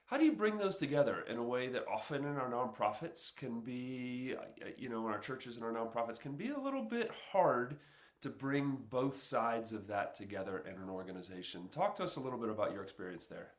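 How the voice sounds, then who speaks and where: Male podcast host, mid-pitched, upbeat and conversational. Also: big pitch swings, fairly quick. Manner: upbeat, conversational, some fillers. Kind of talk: interview questions.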